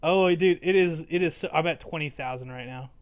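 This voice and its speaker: A young man hosting casually, excitable, slangy and easily distracted. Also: on the high side, a little fast, clean tone, lo-fi sound. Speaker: host of a fan fiction reading channel. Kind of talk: casual banter introduction.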